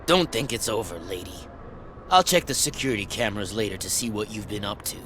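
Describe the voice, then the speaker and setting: A man's voice: on the low side, fairly quick, a bit bright, slight background noise, natural voice. An actor playing a suspicious male security guard, talking in a curt, threatening way.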